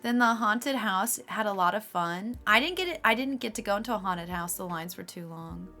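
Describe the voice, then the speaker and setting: A woman streaming live, chatting casually with her viewers and laughing often, her voice a little raspy. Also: mid-range voice, expressive, normal speed, pushed and bright, soft background music. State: slightly raspy voice.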